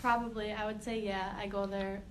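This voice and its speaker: A woman's voice: medium pitch, flat delivery, moderate pace. A 21-year-old woman giving short, plain answers in a focus group. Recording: noisy room recording.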